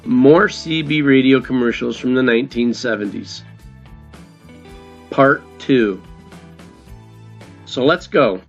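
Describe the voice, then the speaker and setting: A man's voice: mid-range voice, slow, vocal fry, pauses often, faint music behind. A man narrating as the host of a video in a casual, scripted style. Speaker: host introducing vintage commercials. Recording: dull lo-fi voice recording.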